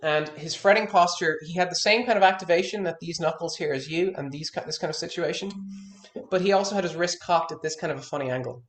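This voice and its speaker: A man in his thirties, a guitar teacher, explains technique with emphatic, frank insistence, often restarting words as he drives a point home. His voice sits a little higher than most men's. The sound carries a noticeable room echo. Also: fast, a bit bright. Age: 30s. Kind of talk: one-on-one lesson consultation.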